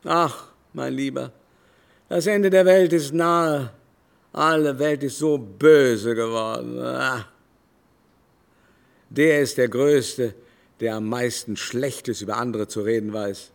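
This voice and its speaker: A man's voice: mid-range voice, slow pace, vocal fry. An actor playing an aging, haughty male aristocrat, indignant and gossipy, declaiming theatrically in a literary radio drama. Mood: mournful dismay. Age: older. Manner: theatrical, declamatory, long-winded lamenting. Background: musical score.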